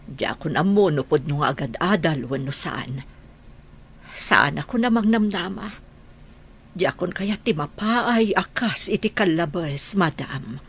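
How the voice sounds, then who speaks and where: A woman's voice: lowish voice, animated, unhurried, husky, heavily acted. A woman acting as a hurt, weary middle-aged mother in a radio drama, plaintive, with an Ilocano Filipino accent. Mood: doubtful, weary. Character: hurt, weary mother doubting her wayward son.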